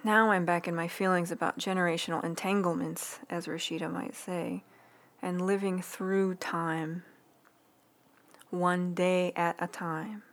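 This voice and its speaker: A woman with a fairly low voice reads her own writing aloud as an ASMR read-along. Her delivery is soft, unhurried and intimate. Breaths and small lip sounds come through in a close recording made in a quiet room. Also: little pitch movement. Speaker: writer reading own newsletter aloud.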